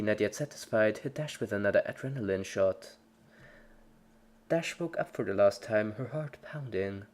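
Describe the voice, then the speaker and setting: A narrator giving a scripted dramatic reading of a story in the third person. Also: medium pitch. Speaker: fan fiction narrator.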